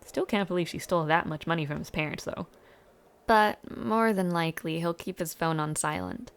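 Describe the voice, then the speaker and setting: A young woman playing a friendly, chatty university student in an audio roleplay, speaking casually with hesitant afterthoughts and a creaky voice. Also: sits low, sing-song, moderate pace. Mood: chatty, wry. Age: young adult. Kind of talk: intimate audio roleplay, casual one-sided conversation.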